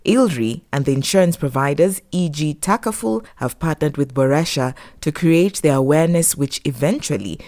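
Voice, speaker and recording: A woman's voice: low-pitched, moderate pace, hardly any pauses, mellow, dry recording, clean studio sound. A woman reading scripted documentary narration.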